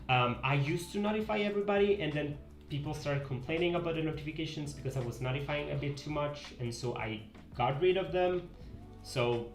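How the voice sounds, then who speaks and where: A man's voice: on the high side, roomy sound. A man in his twenties with an Italian accent, chatting casually on a live stream while drawing, wandering and correcting himself mid-sentence. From Italy. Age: 20s. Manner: rambling, self-correcting, reads chat aloud. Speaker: pixel art streamer. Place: home desk room. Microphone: headset.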